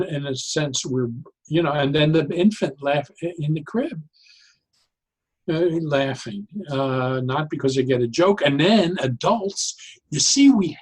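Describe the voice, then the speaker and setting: A man explaining ideas conversationally as an interview guest, in an animated, halting way, often repeating and interrupting himself. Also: a little high, husky, rough recording. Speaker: podcast guest, psychologist turned joy advocate.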